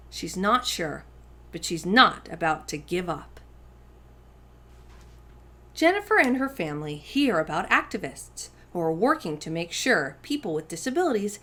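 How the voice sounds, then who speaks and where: A woman's voice: mid-range voice, a bit bright. A woman hosting children's storytime, reading a picture book aloud expressively, with dramatic emphasis on key words.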